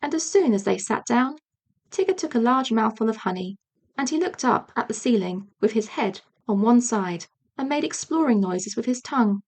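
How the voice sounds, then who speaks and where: A woman reading a children's storybook as an audiobook narrator, measured and expressive. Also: on the high side. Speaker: audiobook reader.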